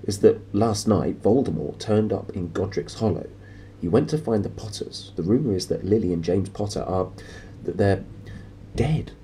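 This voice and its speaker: A man's voice: lowish voice, lively intonation, moderate pace, dark tone, slight background noise, natural voice. A man voicing a severe, impatient older woman professor in a children's storybook, prim and clipped. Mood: anxious, pressing.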